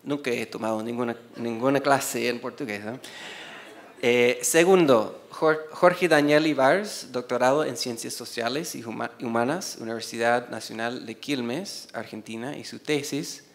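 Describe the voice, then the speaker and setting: A man's voice: mid-range voice, a little slow. A man in his forties who is not a native speaker, reading out award nominees from a panel table, hesitant with many fillers and restarts. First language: not Spanish. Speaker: award presenter. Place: university auditorium, seated at a panel table. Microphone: desk microphone on a stand.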